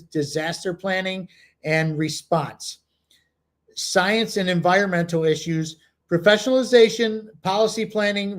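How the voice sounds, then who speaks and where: A man co-hosting a podcast, chatting casually with many fillers and reading from notes. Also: sits high. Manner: rambling, many "you know" fillers. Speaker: podcast co-host. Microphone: home computer mic, no camera.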